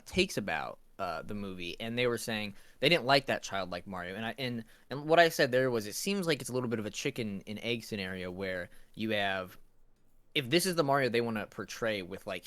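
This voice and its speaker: A man co-hosting a casual review chat, supportive and a little halting as he puts questions. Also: lowish voice, fairly bright tone, no echo. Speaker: co-host asking the questions.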